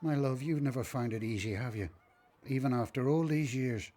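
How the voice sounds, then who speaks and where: An actor playing an elderly temple priest in a radio play, anxious and devoted, with an earnest, tender way of talking. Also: male voice, medium pitch, normal speed, dark tone, lightly acted. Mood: tender, resigned.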